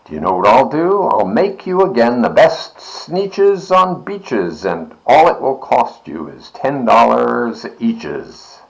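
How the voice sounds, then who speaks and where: A man's voice: medium pitch, sing-song, takes it slowly, vocal fry, hardly any pauses, heavily acted, low-quality recording. A man voicing a slick, cheerful swindling travelling salesman with emphatic showman patter, from a children's storybook. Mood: sly, persuasive.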